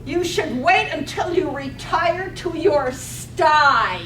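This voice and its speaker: A woman's voice: sits high, animated, slow, a bit bright, exaggerated voice. A woman playing a prim, haughty, scolding wife in a staged reading of a radio play. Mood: scornful. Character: prim, scolding, icy middle-aged wife. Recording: roomy, distant mic, faint noise.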